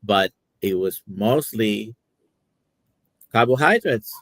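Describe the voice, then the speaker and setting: Deep dry-voiced man from Puerto Rico. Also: expressive, slow, a bit bright, no room sound. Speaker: co-host, doctor. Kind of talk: casual chat on a live stream.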